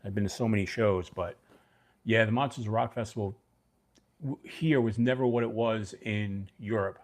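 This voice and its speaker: A man's voice: on the low side, fairly even intonation, normal speed. A middle-aged man from Brooklyn with a mixed New York and New Jersey accent, chatting loosely as the host of a livestream and answering viewers by name. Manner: rambling, talks to chat viewers by name. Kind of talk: casual livestream chat.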